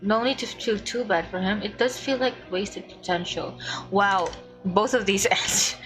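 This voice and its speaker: A woman giving casual live gameplay commentary as a streamer, halting as she thinks aloud. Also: medium pitch, a bit bright, faint music behind, poor audio. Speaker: game streamer.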